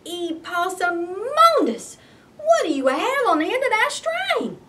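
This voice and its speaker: A woman's voice: very high voice, sing-song, takes it slowly, fairly bright tone, far from the mic, voice pushed up, heavily acted. A woman voicing an exasperated, scolding possum mama in a folksy, emphatic storybook style. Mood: suspicious, questioning.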